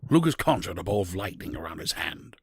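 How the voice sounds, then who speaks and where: A man's voice: deep voice, big pitch swings, a little fast, vocal fry, husky, dry recording, studio quality. A man narrating a scripted fantasy story as the narrator of an audio drama.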